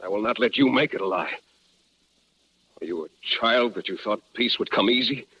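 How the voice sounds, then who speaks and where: An actor playing a proud, resolute chief in a 1950s radio drama, with formal, measured phrasing and no contractions. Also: male voice, fairly low pitch, pushed and bright, husky. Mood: commanding. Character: proud, resolute Apache chief, grave and principled. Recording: muffled vintage radio broadcast.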